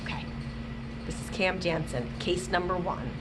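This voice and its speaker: A woman reading a children's chapter book aloud in an expressive storytelling manner, like a librarian at storytime. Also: lowish voice, normal speed, background noise.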